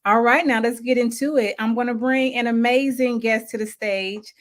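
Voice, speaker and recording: A woman from Ohio who hosts a casual interview show and talks in an upbeat, lively, enthusiastic way. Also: fairly high pitch, moderate pace. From Cincinnati, Ohio, USA. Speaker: show host.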